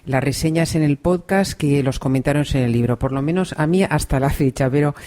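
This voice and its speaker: A woman from Spain with a Spain Spanish accent, hosting an interview podcast in a relaxed way, often adding soft affirmations. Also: sits low, a little fast. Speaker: podcast host.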